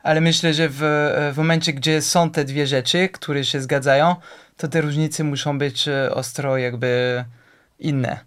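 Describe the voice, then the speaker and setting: Slow, halting man with a smooth voice. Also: on the high side, steady tone, a bit bright. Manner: slow, searching for words with many fillers. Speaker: interview guest, musician. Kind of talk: casual interview answers.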